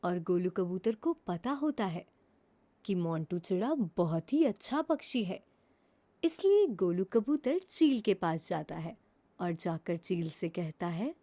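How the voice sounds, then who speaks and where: A woman narrating a children's cartoon story, her pitch rising and falling in a lively way. Her tone is mellow and warm, and she tells it in a plain storybook manner. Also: slow.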